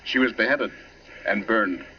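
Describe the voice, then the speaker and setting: An actor playing an eccentric learned doctor who savours grim tales theatrically, in a 1940s radio drama on a noisy, dull recording. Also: male voice, lowish voice, a little slow, close to an everyday voice. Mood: macabre amusement.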